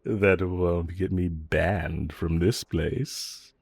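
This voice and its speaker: Man acting a low, husky, flirty villain. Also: fairly even intonation, darkish tone, dry recording, heavily acted. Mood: smug, flirtatious. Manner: teasing, drawn-out, flirty delivery with playful swoops. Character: flirtatious, teasing male villain, playfully provoking his hero rival. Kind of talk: romantic audio roleplay acting addressed to listener.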